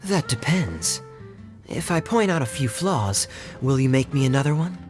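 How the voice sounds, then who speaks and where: An actor playing a sly, teasing young knight in a video game dub, smooth and needling. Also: male voice, medium pitch, sing-song, moderate pace, natural voice. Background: background game music.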